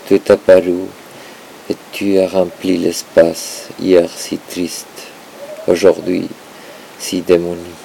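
A man recites poetry in a low, heavily creaking voice. He breaks the verse into short, dramatic fragments with halting gaps between them. A faint rumbling hiss sits under the recording. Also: completely flat delivery, mellow, poor audio. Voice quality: gravelly, creaky. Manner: halting, dramatic delivery in short broken phrases. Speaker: poetry translator and performer. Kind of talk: performative poetry reading.

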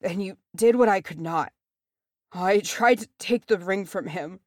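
A dying warrior in a fantasy story as played by a woman reader, strained and breathy. He speaks in broken, halting pleas, heavy with anguish and shame, in a dry recording with no room sound. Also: medium pitch, a little mellow, no room sound, close to an everyday voice. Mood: anguished, pleading. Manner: halting, pleading. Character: dying warrior man, anguished, ashamed, reverent.

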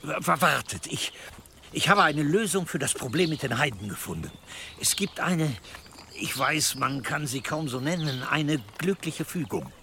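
An actor playing a nervous, obsequious cleric in a medieval radio drama, deferential, hesitant and stammering under pressure. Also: male voice, fairly high pitch, lively intonation, normal speed, creaky, pushed and bright, a little hiss or hum, exaggerated voice. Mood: anxious, pleading. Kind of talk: German radio drama acting in a medieval setting.

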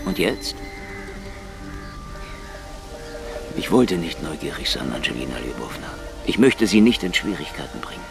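Hardboiled middle-aged investigator, low-pitched, wildly varied intonation, brooding, sardonic, murmuring, noir radio drama. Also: male voice, very animated, brisk, vocal fry, exaggerated voice. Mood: wary, probing. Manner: brooding, dry, often dropping to a near-whisper. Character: weary, sardonic middle-aged Soviet secret police investigator. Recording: studio radio play with music underneath.

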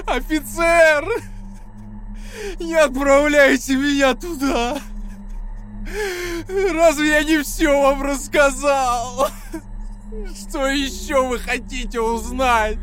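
An actor in an audio drama playing a terrified, craven male prisoner who begs desperately in drawn-out pleas. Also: extremely high pitch, heavily acted. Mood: tearful pleading. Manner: pleading, drawn-out, breaking into cries and shouts.

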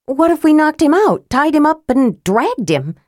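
A woman voicing a feisty, impatient young female fighter who speaks up insistently and pitches her voice high. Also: dry recording, clean studio sound. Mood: urgent. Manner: insistent, excited, high and quick to object.

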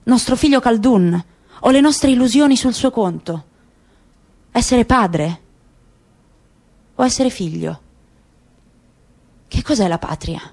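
A woman's voice: on the high side, expressive, slow pace, pauses often, a little mellow, exaggerated voice. A woman voicing a weary, grieving middle-aged father in a literary radio reading, speaking in a grave, searching way. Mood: brooding.

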